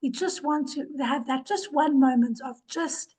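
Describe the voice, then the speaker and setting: A woman's voice: high voice, measured pace, darkish tone. A woman who is ill and losing her voice, giving an earnest webinar presentation over a video call, repeating words for emphasis. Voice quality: hoarse. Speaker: webinar presenter, organization director. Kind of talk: presentation talk.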